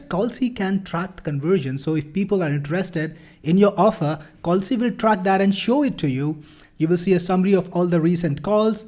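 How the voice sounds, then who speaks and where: A man demonstrates software in a promotional walkthrough. His voice sits a little high for a man, and he explains each step in a salesy way. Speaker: product demonstrator.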